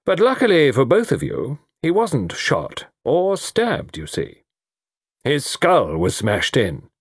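Man acting a gruff, blustering old English colonel. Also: mid-range voice, huge pitch swings, slow pace, no echo, studio quality, voice pushed up, exaggerated voice. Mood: dry, grim. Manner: heavy, grave, blustering. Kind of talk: audiobook character dialogue.

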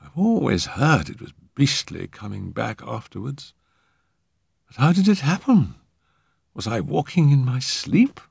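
Man playing a bewildered young English gentleman, raised pitch. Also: very animated, unhurried, vocal fry, husky, dry recording, exaggerated voice. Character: bewildered well-bred young Englishman, newly revived from drowning.

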